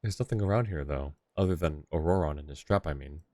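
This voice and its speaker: A man's voice: extremely low pitch, fast talker, a little mellow, slight background noise. A man streaming a game with casual, playful and teasing commentary.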